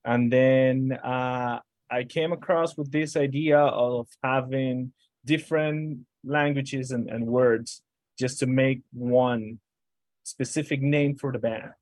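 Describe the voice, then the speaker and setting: A man from Caracas with a Venezuelan Spanish accent, a guest musician answering interview questions in a halting way, searching for words. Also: medium pitch, fairly even intonation, darkish tone, no room sound. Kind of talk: casual interview chat.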